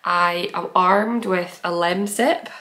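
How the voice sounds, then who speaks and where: A woman's voice: lowish voice, fairly even intonation, slow pace, a bit bright. Young woman, nasal with a cold, chatty giggly craft-podcast host talking to camera at home. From based in Edinburgh. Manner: chatty, giggly, rambling with fillers. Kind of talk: casual chat to camera. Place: small room at home, bookshelves.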